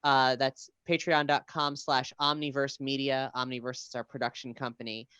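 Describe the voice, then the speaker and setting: A podcast host with a smooth, clean voice in a dry, close recording. The delivery is casual and halting, addressing a livestream audience with announcements and thanks. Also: mid-range voice, flat delivery, bright, forceful tone, dry recording. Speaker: host of an audio drama podcast.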